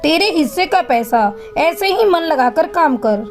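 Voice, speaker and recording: A woman's voice: high voice, animated, unhurried. A woman voicing a helpful, bustling neighbour bird auntie in a cartoon, with emphatic, familiar talk. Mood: brisk, helpful. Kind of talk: Hindi cartoon moral-story dubbing. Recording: music behind the voice.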